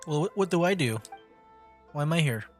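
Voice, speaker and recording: A man's voice: a little high, lively intonation, fairly quick, faint music behind. A man streaming a game with casual, slightly hesitant live commentary, restarting his sentences.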